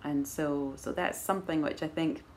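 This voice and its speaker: A woman in her forties with a soft Edinburgh Scottish accent, chatting to camera at home and answering viewer questions in a thoughtful, measured way with gentle laughs. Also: sits low, steady tone, a little hiss or hum. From Scotland. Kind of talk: casual chat to camera. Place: living room at home, indoors. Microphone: camera in front at arm's length.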